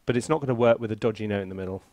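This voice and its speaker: A man's voice: on the low side, very fast, darkish tone, slight background noise. A man giving a casual think-aloud music tutorial in a playful, self-deprecating, chatty way. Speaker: composer presenting a music software tutorial and review. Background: orchestral sample music.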